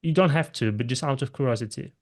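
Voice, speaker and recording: A man's voice: medium pitch, fast talker. A man with an Italian accent, a native Italian speaker, hosting a podcast interview and politely asking his guest questions. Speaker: podcast host.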